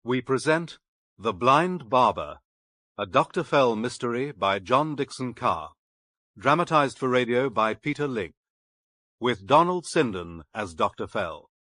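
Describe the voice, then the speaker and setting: Bright, forceful radio announcer, male. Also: medium pitch, very animated, measured pace, no room sound, clean studio sound. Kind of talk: scripted radio drama announcement.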